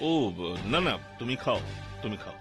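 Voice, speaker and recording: A man playing a polite, worried gentleman in a cartoon, speaking hesitantly and anxiously. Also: mid-range voice, animated, moderate pace, soft background music, lightly acted. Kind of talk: cartoon dubbing in Bengali.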